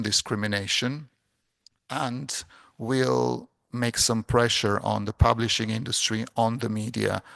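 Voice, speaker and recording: A man closing a conference presentation, speaking haltingly with frequent restarts. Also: fairly low pitch, creaky, fairly bright tone, no echo, clean studio sound.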